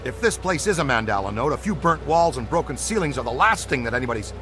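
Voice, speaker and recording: A man voicing an earnest, excitable paranormal investigator in a video game cutscene, explaining things with dramatic seriousness. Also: mid-range voice, quick pace, over music, natural voice.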